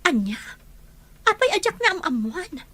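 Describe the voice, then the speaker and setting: A woman's voice: very high-pitched, very animated, moderate pace, sharp tone, exaggerated voice. A woman acting as a hurt, resentful but loyal young friend in an audio drama. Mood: hurt, indignant. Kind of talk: Ilocano radio-style drama acting.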